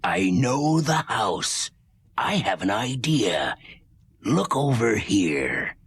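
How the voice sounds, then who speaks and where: A sly storybook wolf with a very husky, gravelly growl. He sweet-talks with false politeness, unhurried and swinging in pitch, recorded close and dry. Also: big pitch swings, no echo, heavily acted. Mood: coaxing. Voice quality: raspy, gravelly. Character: sly big bad wolf, sweet-talking villain. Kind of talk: children's musical storybook acting.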